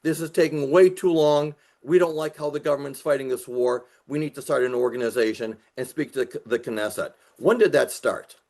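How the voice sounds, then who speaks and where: A man's voice: mid-range voice, brisk, few pauses, a little mellow. A man hosting an interview, asking questions in a conversational way. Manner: reads quotes aloud, conversational. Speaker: interview host.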